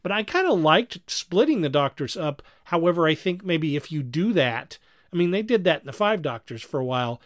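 A man's voice: fairly high pitch, big pitch swings, a little fast, no room sound, studio quality. A man co-hosting a podcast in casual conversation, acting out imagined quotes as he reviews. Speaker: podcast co-host.

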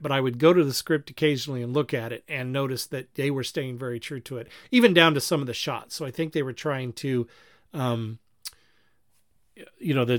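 A man hosting a casual podcast discussion, explaining things in a halting, rambling way and often correcting himself. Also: mid-range voice, a bit bright, no echo, clean studio sound. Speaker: podcast host.

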